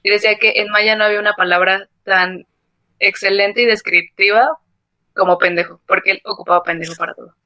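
A woman's voice: mid-range voice, fairly even intonation, brisk, pushed and bright, dry recording. A woman, a guest in a casual chat, chatty and peppering her talk with fillers. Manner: chatty, lots of fillers like ajá.

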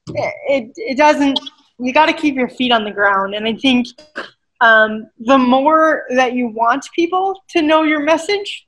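A woman who works as an adaptive fitness coach, talking in a casual interview with animated emphasis and a habit of checking in with "right?". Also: on the high side, poor audio.

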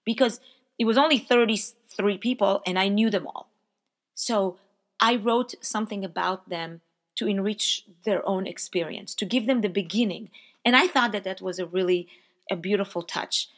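A woman with a hoarse voice talking reflectively about leading a service, heartfelt, often dropping to a soft, quiet tone. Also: medium pitch. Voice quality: sings slow sustained hymn lines. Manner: slow, heartfelt, softens to near-whispers. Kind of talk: reflective personal talk.

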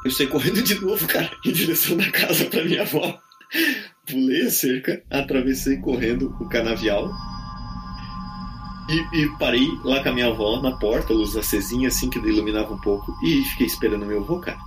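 Podcast guest, male, Brazilian accent, slangy casual storyteller, average pitch, music bed behind. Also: a little fast, rough recording. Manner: colloquial, full of slang interjections. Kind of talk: casual spoken storytelling of eerie personal experiences.

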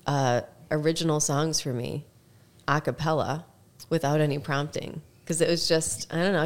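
A woman guest on a podcast, a former teacher, telling stories in a chatty way with many fillers. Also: deep voice. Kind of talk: casual interview storytelling.

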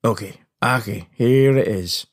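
A man hosting a podcast with scripted comedic narration, husky in voice and playful, punning and theatrical in delivery. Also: on the low side, a little slow. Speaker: podcast host. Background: faint music.

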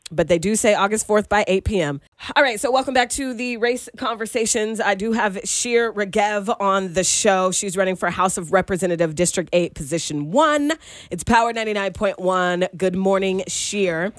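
A woman's voice: mid-range voice, no echo, studio quality. Chatty female radio host. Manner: casual, chatty, many fillers. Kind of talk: radio interview. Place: radio studio.

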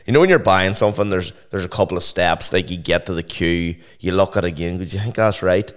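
Deep-voiced Northern Irish man, casual banter. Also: quick pace, smooth. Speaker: podcast co-host.

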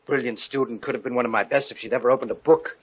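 An actor playing an anguished, newly married professor in halting, theatrical old radio thriller style, on a noisy vintage recording. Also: male voice, mid-range voice, steady tone, breathy edge, muffled recording, lightly acted. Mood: haunted, regretful. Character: anguished new bridegroom, professor haunted by dark urges. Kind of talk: 1940s radio thriller acting.

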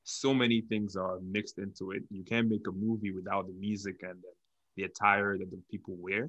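A man's voice: deep voice, little pitch movement, brisk, a bit bright, lo-fi sound. A young man from Nigeria, telling his story casually as an interview guest.

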